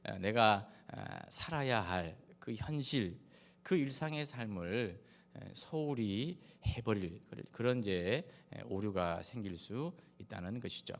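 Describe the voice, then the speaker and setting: A male pastor preaches in a reverberant church hall, his voice on the low side. He has a Gyeongsang Korean accent and speaks in measured, halting phrases, pausing often. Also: lively intonation. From Daegu, South Korea. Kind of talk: sermon with personal anecdotes. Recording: echoey hall with long reverb.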